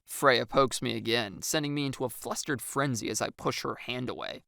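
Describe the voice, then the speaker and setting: A woman reading scripted first-person story narration in an expressive way. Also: rumbling low, normal speed, gravelly, no echo, studio quality. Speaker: audiobook narrator.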